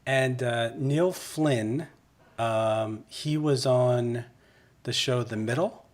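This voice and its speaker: A man with an average-pitched voice hosts a podcast. He talks in a casual, conversational style as he guides his guests along. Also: extremely slow. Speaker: podcast host. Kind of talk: casual chat.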